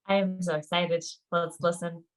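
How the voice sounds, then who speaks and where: A woman co-hosting a podcast in casual chat, excitable and informal. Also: fairly low pitch, moderate pace. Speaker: podcast co-host.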